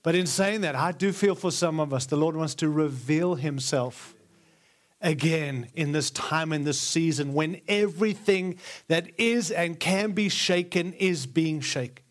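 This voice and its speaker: A man's voice: on the high side, a little slow. A man with an Australian accent preaching a sermon as a guest speaker to a live congregation, earnest and emphatic, stacking repeated phrases to build his point. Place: large church before a live congregation.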